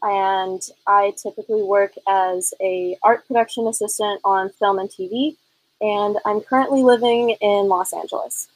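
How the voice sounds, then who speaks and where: A woman's voice: medium pitch, fairly even intonation, normal speed, dry recording, rough recording. A woman in her twenties from Northern California speaks thoughtfully, with frequent fillers. Her voice is dry and close. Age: 20s. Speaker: podcast guest. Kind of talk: casual conversation.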